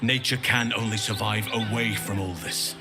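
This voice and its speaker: Male fantasy game druid actor: lowish pitch, grave, earnest, thoughtful, music underneath. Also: steady tone, lightly acted. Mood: troubled, reflective. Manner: grave, reflective, measured. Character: gentle, earnest, world-weary adult male druid. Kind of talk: fantasy video game dialogue acting. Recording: loud music behind.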